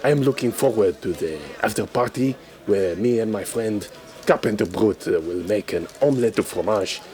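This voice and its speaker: A man playing a clueless, self-important European scene celebrity, hesitant and deadpan, in a comedy sketch. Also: fairly low pitch, normal speed, close to an everyday voice. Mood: clueless, pompous.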